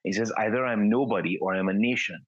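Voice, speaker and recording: Middle-aged man, co-host, thoughtful, stammering restarts, husky voice, dry close sound. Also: on the low side, dry recording, muffled recording. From Trinidad. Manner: halting, repeats words while searching for phrasing. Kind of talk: casual chat.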